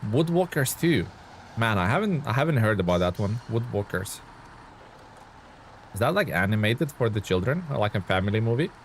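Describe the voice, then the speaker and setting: A man's voice: fairly low pitch, sing-song, normal speed, slight background noise. A man from Lithuania chatting loosely on a live game stream, reading out and answering viewer comments with plenty of fillers. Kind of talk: casual live-stream chat.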